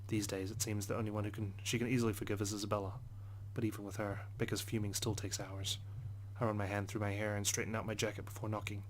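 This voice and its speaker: A man's voice: lowish voice, little pitch movement, fast, few pauses, a little hiss or hum, low-quality recording. A man reading visual novel narration aloud in an introspective, first-person manner. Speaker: let's play narrator.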